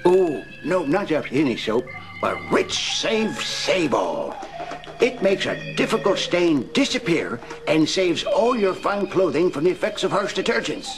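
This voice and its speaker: A chirpy laundryman pitches soap in an old-time radio commercial. His high voice swoops with exaggerated friendliness, and music plays prominently behind him. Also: big pitch swings, measured pace. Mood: salesman-like.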